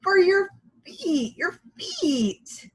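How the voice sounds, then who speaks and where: A woman acts out a smitten, sweet-natured storybook lady. The voice is very high and swings up and down, with theatrical emphasis on each phrase. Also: huge pitch swings, very slow, a bit bright, full character voice. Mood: faint, gulping.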